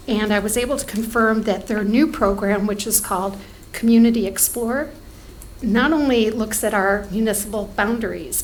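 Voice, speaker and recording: Woman giving a meeting report, slightly hoarse. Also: on the high side, a little hiss or hum, rough recording. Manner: conversational, many ums and restarts. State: losing her voice from allergies. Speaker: township staff giving a report. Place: township board meeting room.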